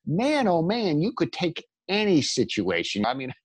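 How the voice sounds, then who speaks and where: A man's voice: a little high, wildly swooping pitch, moderate pace, slightly rough, dry recording. A man co-hosting a casual talk about writing, rambling emphatically and acting out imagined thoughts as he encourages the listener. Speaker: podcast co-host and writer. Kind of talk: animated casual talk, motivational riffing on writing.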